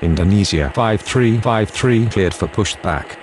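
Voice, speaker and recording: A man's voice: fairly low pitch, expressive, a little slow, darkish tone. A man speaking scripted air traffic radio phraseology for a control game.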